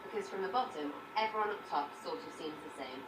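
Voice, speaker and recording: A distant, echoey game recording of an actress as a cheeky young British prankster woman. Her delivery swoops about with slangy irreverence, over clear background noise. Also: fairly low pitch, animated, quick pace, close to an everyday voice. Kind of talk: video game voice acting. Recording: roomy, distant, noisy game capture.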